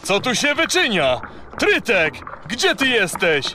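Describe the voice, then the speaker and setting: Pompous grumpy sea monarch, raised pitch, unhurried, children's audio play, noisy water ambience. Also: male voice, wildly swooping pitch, exaggerated voice. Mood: indignant. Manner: pompous, blustering. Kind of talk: children's audio drama acting.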